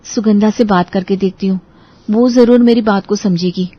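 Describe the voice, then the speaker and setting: A woman's voice: mid-range voice, steady tone, moderate pace, highs cut off, natural voice. A woman playing an anxious, earnest young bride-to-be in a Hindi audio story drama. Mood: hesitant, questioning.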